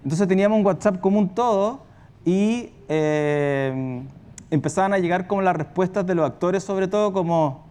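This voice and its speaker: A man's voice: high voice, normal speed, darkish tone, clean tone. A Chilean man speaking spontaneously on a panel as a radio and podcast producer, in a colloquial, rambling, anecdotal way.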